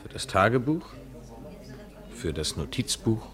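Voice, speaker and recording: An actor playing a cool, impatient young gentleman in a radio play, with a curt, controlled, ironic manner. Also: lowish voice, sing-song, measured pace. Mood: coolly attentive.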